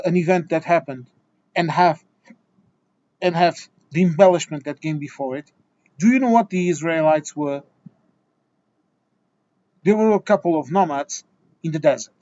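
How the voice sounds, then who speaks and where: Portuguese man, fairly high voice, lecturing and exasperated, dull lo-fi recording. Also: mellow. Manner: exasperated, lecturing, many restarts and repeated words. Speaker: video essayist. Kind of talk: impassioned opinion monologue.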